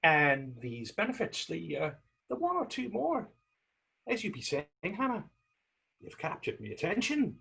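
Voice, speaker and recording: A man playing a skeptical, down-and-out Irish drifter in a staged period drama reading, husky and sardonic. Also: sits high, wildly swooping pitch, measured pace, pushed and bright, full character voice. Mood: sly, playful. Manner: wry, sardonic. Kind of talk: stage readers-theater dialogue in Irish period dialect.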